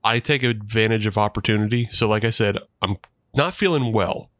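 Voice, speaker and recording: A man's voice: on the low side, brisk, fairly bright tone, no echo, studio quality. A man co-hosting a podcast, speaking with a congested voice. He rambles casually and laughs often. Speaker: podcast co-host. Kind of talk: casual chat.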